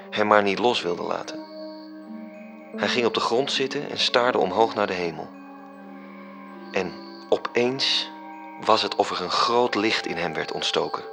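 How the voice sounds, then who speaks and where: Precise, self-satisfied angel narrator over music. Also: male voice, on the low side, vocal fry, bright, forceful tone, full character voice. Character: articulate male angel reporting his schemes, coolly self-satisfied. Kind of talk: radio drama narration.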